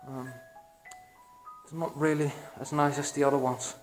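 A young man with a Belgian accent narrating his exploration of an abandoned building to his vlog audience, excited and hushed, with many fillers, recorded on an action camera. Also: fairly high pitch, moderate pace, soft background music. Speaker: urban explorer vlogger. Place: abandoned building, echoey halls and attic. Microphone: action camera, handheld or body-worn.

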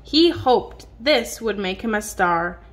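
A woman reading a picture book aloud to a young child in an expressive, child-directed way, drawing out words. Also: mid-range voice, slow. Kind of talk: read-aloud storytelling to a young child, with simple questions. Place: indoors at home on a dark wood floor. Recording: noisy home phone recording.

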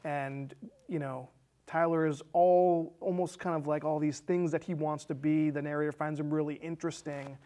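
A man's voice: a little high, steady tone, moderate pace, dark tone. A man in his thirties with an American accent, casually recapping a film as a co-host, often restarting and repeating phrases. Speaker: film discussion show co-host. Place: small indoor studio set with curtain.

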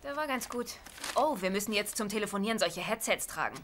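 An actress playing a sharp, exasperated wife in a German sitcom dub, dry and bossy, over loud noisy TV audio. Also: female voice, medium pitch, expressive, fairly quick, natural voice. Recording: loud noisy dubbed TV audio with laugh track.

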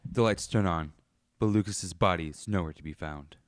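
A man's voice: sits low, normal speed, noisy background, lightly acted. A man playing a locked-up killer who narrates his murders in a radio drama. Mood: grim storytelling.

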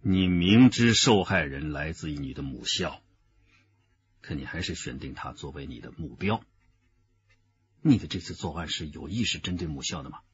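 Deep-voiced stern police detective. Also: male voice, lively intonation, normal speed, dull audio. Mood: probing, grave. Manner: grave, probing. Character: stern, sceptical male police detective interrogating a suspect. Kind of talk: Chinese radio crime drama acting.

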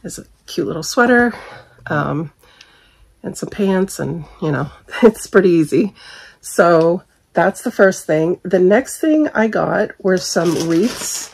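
A woman's voice: on the low side, expressive, slow. A woman in her forties, losing her voice, chatting casually and candidly about her shopping haul from her bedroom, filmed by a camera at desk distance. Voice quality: gravelly, husky. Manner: chatty, candid, frequent ums and little laughs. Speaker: haul vlogger. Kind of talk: casual product review chat. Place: bedroom at home.

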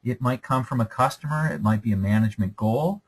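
A man's voice: mid-range voice, brisk, breathy edge. A man lecturing over slides in a conversational, explanatory way, often checking in with "okay?".

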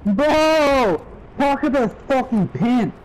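A man streaming a shooter game, cursing and trash-talking excitedly in casual voice chat with his squad. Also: very high voice, slow pace, a little hiss or hum, rough recording. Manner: crude, profane, excitable trash talk.

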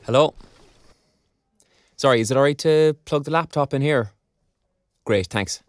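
A young Irish man, a preoccupied graduate played by a male actor in a naturalistic audio drama. He speaks in a medium-pitched voice, hesitant and wry, often putting himself down. The sound is dry and close. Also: measured pace, no room sound, close to an everyday voice. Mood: polite, distracted. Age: young adult. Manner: hesitant, wry, self-deprecating.

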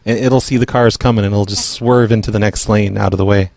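A man's voice: lowish voice, fairly even intonation, fast talker, gravelly, darkish tone. A man hosting a prank call show, talking in a casual, matter-of-fact way. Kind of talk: casual podcast talk and prank calling.

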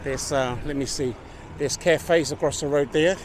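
A man's voice: a little high, moderate pace. A middle-aged man casually vlogging while walking a busy evening street, talking to a handheld camera. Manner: laid-back, chatty, upbeat street talk. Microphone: handheld camera at arm's length. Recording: street crowd and traffic noise.

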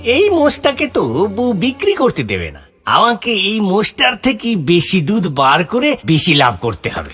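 A man's voice: high voice, wildly swooping pitch, measured pace, fairly bright tone, breathy edge, faint music behind, heavily acted. A man voicing a greedy, grumbling poor farmer husband in a cartoon, with an exaggerated delivery. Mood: scheming, grumbling. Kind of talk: cartoon voice acting.

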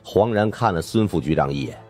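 A man's voice: low-pitched, expressive, fairly quick, a little mellow, soft background music. A man giving dramatic scripted narration of supernatural suspense fiction as an audiobook narrator.

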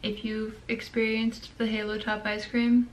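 A woman's voice: on the high side, completely flat delivery, a little slow. A young woman chatting casually to the camera in her bedroom, with a crackly voice, eating while she talks. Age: young adult. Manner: chatty, eating ice cream while talking. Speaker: vlogger. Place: small bedroom, indoors.

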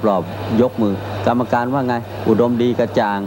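A man's voice: mid-range voice, fairly even intonation, moderate pace, background noise. A man doing polite, chatty live commentary on a Thai boxing fight, exclaiming at the big strikes. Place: boxing stadium broadcast booth.

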